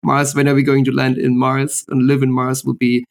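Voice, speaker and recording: A man's voice: medium pitch, completely flat delivery, normal speed, gravelly. A man hosting a podcast over a call, upbeat and summarizing topics for listeners. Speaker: podcast host. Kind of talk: casual interview chat. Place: remote call. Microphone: video call.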